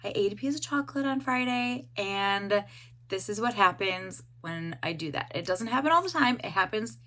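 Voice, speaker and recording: A young woman with a hoarse voice, casually reviewing a hair dye and rambling with lots of fillers. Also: medium pitch, animated, normal speed, pushed and bright. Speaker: beauty vlogger.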